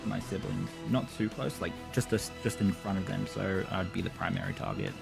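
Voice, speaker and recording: Gravelly low-voiced man gaming over music. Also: steady tone, a little fast. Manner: hesitant, thinking aloud. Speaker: player in tabletop game. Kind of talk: casual in-game description of moves. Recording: clear music behind the voice.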